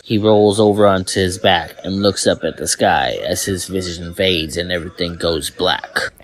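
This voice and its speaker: Male storyteller for fan fiction audio, deep-pitched, scripted narration. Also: steady tone, normal speed.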